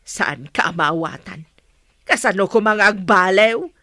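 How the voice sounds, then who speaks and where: Exasperated filipino mom, lively up and down voice, disapproving, radio soap, close dry sound. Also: female voice, mid-range voice, unhurried, no room sound. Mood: indignant scolding. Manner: scolding, exasperated. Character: indignant, disapproving mother of a grown daughter. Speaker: radio drama actor. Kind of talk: Filipino radio serial acting.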